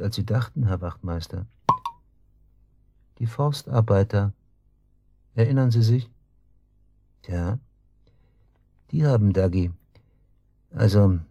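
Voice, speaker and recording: Smug freed suspect, low husky voice, mocking and casual, dry close radio drama sound. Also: male voice, warm tone, dry recording. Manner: mocking, feigned casualness, taunting. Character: smug, needling man just released from custody. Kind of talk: German radio drama acting.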